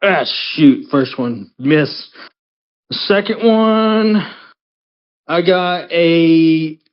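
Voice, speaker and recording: A man's voice: on the high side, very slow, dull audio. A man playing a tabletop game, casually describing a character's actions in the first person.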